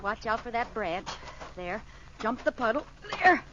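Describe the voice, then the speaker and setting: A flustered sitcom housewife, high-voiced and exclamatory. She schemes and frets with theatrical energy, swooping in pitch. It comes through a dull, noisy vintage radio recording. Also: lively intonation, a little slow. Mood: frantic, exasperated. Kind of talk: 1940s-50s radio comedy acting.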